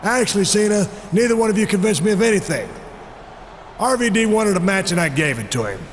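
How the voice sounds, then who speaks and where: A stern authority figure in a game cutscene, measured and probing, with a slightly high pitch. The voice rings in a long reverb with faint noise behind. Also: male voice, animated. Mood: stern, skeptical. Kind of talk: video game cutscene acting.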